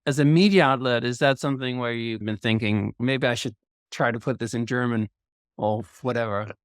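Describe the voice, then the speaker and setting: A man's voice: medium pitch, fairly quick, smooth, dry recording. Man, dry close sound. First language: Danish. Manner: reflective, loosely phrased, lots of fillers. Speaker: podcast co-host. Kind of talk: conversational interview.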